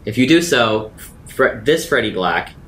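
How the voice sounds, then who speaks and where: A man's voice: mid-range voice, animated, unhurried, fairly bright tone, a little hiss or hum. A man playing a tabletop roleplaying game, improvising in character and chatting casually.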